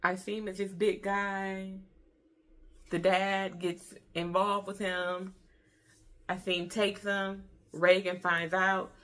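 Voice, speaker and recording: A woman's voice: mid-range voice, level tone, slow pace, a bit bright. An American woman in her early thirties giving a casual book review in an informal, chatty way, repeating herself for emphasis. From Detroit, Michigan, USA.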